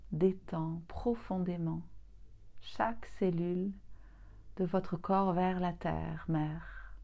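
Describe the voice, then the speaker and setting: A woman leading a guided yoga nidra relaxation as a teacher, soothing and gently inviting, leaving long pauses between phrases. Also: lowish voice, dull audio.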